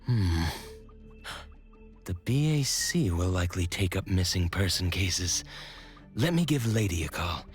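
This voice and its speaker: Low, animated male investigator. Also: unhurried, pauses often, faint music behind. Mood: practical. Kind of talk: video game voice acting.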